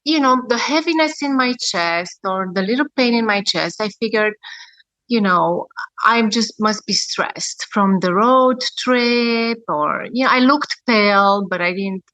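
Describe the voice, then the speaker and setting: Woman, 30s, podcast guest; slow, reflective storytelling with frequent pauses, bright tone. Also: medium pitch, no room sound, poor audio. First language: Romanian. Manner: reflective, with many pauses and fillers. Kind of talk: personal storytelling.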